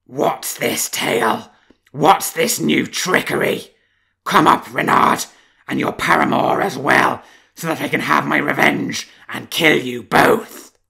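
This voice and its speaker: Brief: man voicing a storybook grey wolf, enraged, gravelly bellowing shouts. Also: on the high side, takes it slowly, heavily acted. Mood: threatening. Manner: bellowing threats, drawn out. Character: huge furious grey male wolf. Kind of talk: storybook reading.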